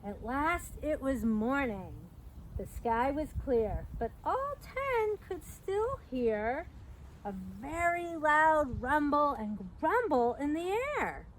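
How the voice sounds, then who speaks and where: A middle-aged woman reads a picture book aloud to young children in an expressive, sing-song storytelling manner with chatty asides. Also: high voice, slow, poor audio. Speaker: park educator hosting a children's storytime. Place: outdoors by a fence at a trailhead. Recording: outdoor recording with background noise.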